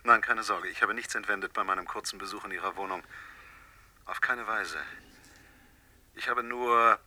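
A sinister anonymous caller in a radio thriller. The voice is very bright and cutting, yet the words come politely and deliberately. He keeps a calm, courteous menace throughout. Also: lowish voice. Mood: calm, controlled. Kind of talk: German radio thriller acting.

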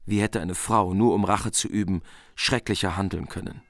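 A man's voice: sits low, fairly even intonation, normal speed, a bit bright, no echo, clean studio sound. A man narrating a medieval epic as solemn, scripted storytelling.

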